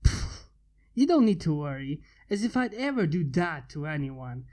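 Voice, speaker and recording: Man acting a cocky, mellow-voiced male inventor. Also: a little high, sing-song, normal speed, pitched below the performer's own voice. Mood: sheepish reassurance. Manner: taunting, casual, mock-menacing. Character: cocky, teasing human male inventor with armored bodyguards.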